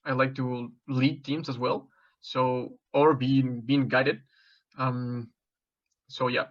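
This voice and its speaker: Mexican-born man, 20s, job applicant: halting, filler-heavy answers, monotone, slightly muffled audio. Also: medium pitch. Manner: hesitant, many fillers and restarts. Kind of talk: mock job interview answers.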